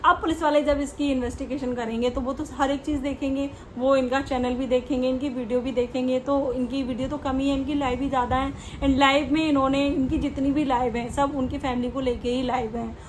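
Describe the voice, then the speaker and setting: A woman's voice: high voice, level tone, brisk, few pauses, a little hiss or hum. A woman hosting a reaction video, giving casual, conversational opinion commentary in an explanatory way, mixing in English words.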